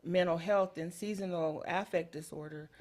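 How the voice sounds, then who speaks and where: A woman's voice: on the low side, fairly even intonation, normal speed, darkish tone. A woman who hosts a community show, chatting casually with many filler sounds in a TV studio. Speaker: community radio show host. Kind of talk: casual chat. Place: TV talk studio.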